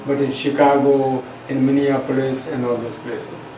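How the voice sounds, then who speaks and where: An older man from India with an Indian accent, whose first language is Hindi, telling stories as a yoga teacher in halting, repeated phrases. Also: mid-range voice. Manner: hesitant, repeats words, short phrases. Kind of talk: reminiscing in a recorded conversation. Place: bookstore event room. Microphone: distant microphone. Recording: roomy echo, distant mic, steady background hiss.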